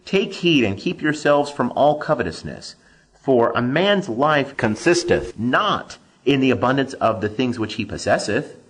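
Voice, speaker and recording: A man reading scripture aloud as solemn scripted narration, in a measured, declamatory way with emphatic phrasing. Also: mid-range voice, a bit bright, husky, poor audio. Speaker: Bible reader.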